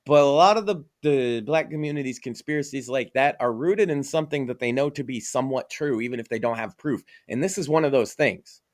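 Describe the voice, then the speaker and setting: A man's voice: mid-range voice, brisk, hardly any pauses. A man from Massachusetts co-hosting a podcast, talking casually, with a profane, emphatic and opinionated manner. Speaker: podcast co-host.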